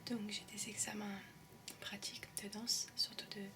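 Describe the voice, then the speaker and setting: A woman's voice: mid-range voice, flat delivery, normal speed, distant mic, background noise. A young woman with an English-tinged French accent rambling in an ASMR whisper, hesitant and full of ums, in shared student housing. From raised in English-speaking countries. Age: young adult. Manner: soft whisper, hesitant with many ums and euhs. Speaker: ASMR creator.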